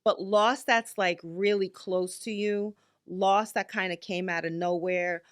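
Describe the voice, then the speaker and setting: A woman's voice: medium pitch, fairly even intonation, measured pace, clean tone. A woman in her fifties co-hosting a podcast, talking candidly and bluntly, with lots of filler likes. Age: 50s. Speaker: podcast co-host. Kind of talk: casual chat.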